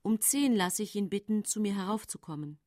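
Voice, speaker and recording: A woman's voice: medium pitch, expressive, a little fast, warm tone, no room sound, studio quality, natural voice. An actress playing a composed, matter-of-fact woman poisoner who recounts her case in sober radio play narration. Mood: numb, factual. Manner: sober, controlled storytelling.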